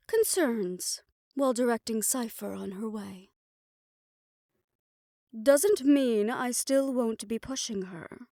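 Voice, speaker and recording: A woman playing a stern, protective female doctor who is softly fond of her listener, speaking in a measured, formal, faintly haughty way in an audio roleplay. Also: a little high, clean studio sound. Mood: softened, teasing. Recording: roomy echo.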